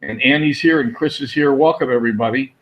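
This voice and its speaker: A man's voice: medium pitch, fast talker, fairly bright tone. A man hosting a webinar, eager and chatty, repeating words and restarting sentences. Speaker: host. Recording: muffled lo-fi audio.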